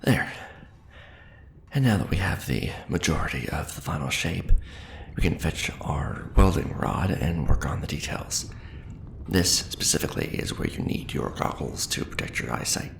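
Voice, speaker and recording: A man doing a soft-spoken ASMR roleplay as a veteran craftsman, patiently and encouragingly instructing an apprentice in measured phrases. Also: a bass voice, lively intonation. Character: veteran mask maker patiently training a new apprentice. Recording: roomy echo with clear background noise.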